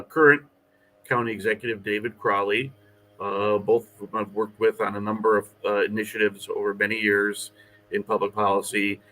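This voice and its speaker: A man answering as a candidate at an online forum, measured and policy-minded, with frequent hesitations. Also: fairly low pitch, fairly bright tone, poor audio. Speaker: political candidate.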